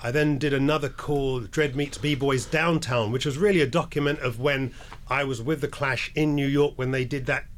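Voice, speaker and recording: A man's voice: mid-range voice, fairly even intonation, moderate pace. A jet-lagged older man from England, telling stories as a radio interview guest with a wry, laid-back manner.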